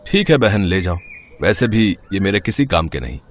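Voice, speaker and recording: A man playing a humble, kindly, brotherly vendor in a moral story, with a mild, low-key manner. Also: very animated, normal speed, slight background noise. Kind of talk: animated moral-story dialogue.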